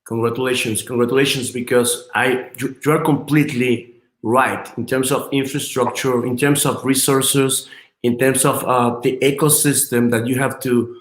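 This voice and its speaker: A man's voice: medium pitch, fairly even intonation, normal speed, reverberant. A man hosting an interview, asking eager, rambling questions. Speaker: interviewer host.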